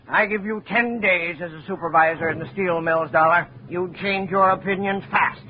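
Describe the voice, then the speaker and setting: An actor playing a condescending steel mill supervisor, lecturing in a 1950 radio drama. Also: male voice, high voice, fairly even intonation, a little slow. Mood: smug. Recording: muffled 1950 radio broadcast, faint hiss.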